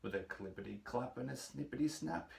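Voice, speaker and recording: Guy reading a rhyming kids picture book, bit gravelly, echoey room, sounds far from the mic. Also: medium pitch, animated, a little mellow. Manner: measured, playful storytelling for young children. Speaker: children's storybook reader. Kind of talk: expressive read-aloud of rhyming picture book. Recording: roomy echo, distant microphone.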